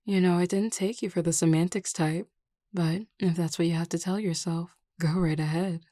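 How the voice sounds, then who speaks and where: A woman playing a flirty, teasing, self-assured werewolf pack beta in an audio roleplay, talking in a casually confident, unhurried way. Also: on the low side, fairly even intonation, dark tone, dry recording, lightly acted. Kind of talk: scripted audio roleplay acting.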